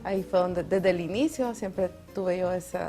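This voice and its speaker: A woman's voice: on the low side, unhurried, dark tone, soft background music. A young adult woman with a Salvadoran accent, chatting as a guest in an interview, laughing often and restarting words. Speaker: guest, mountaineer. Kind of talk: casual interview chat.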